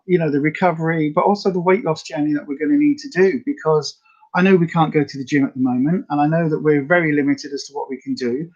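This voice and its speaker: A woman with a slightly raspy voice, chatting casually and rambling to viewers as the host of a live health update. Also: low-pitched, lo-fi sound. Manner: chatty, rambling, many fillers.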